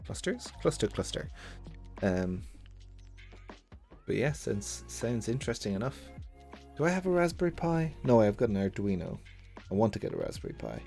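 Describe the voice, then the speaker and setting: A man with a mild Irish accent chats to his live-stream viewers in a loose, rambling way, full of jokes and restarts. His voice sits on the lower side. Soft music plays faintly behind him. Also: huge pitch swings, normal speed, creaky, long pauses. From Ireland. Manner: chatty, rambling, jokey, self-interrupting. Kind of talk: casual chat with live chat. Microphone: good desk mic at computer.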